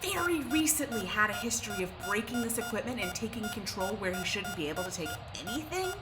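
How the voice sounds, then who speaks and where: An actress playing a sarcastic, foul-mouthed escaped prisoner in an audio drama, defiant and biting. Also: female voice, mid-range voice, very animated, fast talker, reverberant, loud music behind the voice, natural voice. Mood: wary, irritated.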